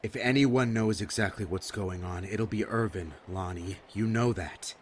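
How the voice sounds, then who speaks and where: A man's voice: on the low side, normal speed, a little hiss or hum, natural voice. A man playing a bitter, sarcastic, sweary survivor in a zombie apocalypse audio drama, curt and defensive. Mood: firm. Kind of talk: fan audio drama acting.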